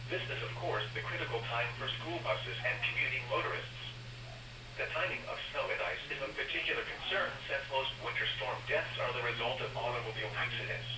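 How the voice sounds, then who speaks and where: Noisy radio broadcast, distant sound, male announcer, medium pitch, formal, measured, synthetic-sounding, scripted bulletin. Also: roomy sound. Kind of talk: scripted public information announcement.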